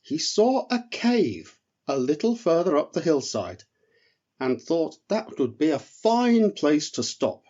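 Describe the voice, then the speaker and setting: A man reading a children's story as narrator, in a measured, expressive way. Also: fairly high pitch, dull audio. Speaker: storyteller, author reading own work.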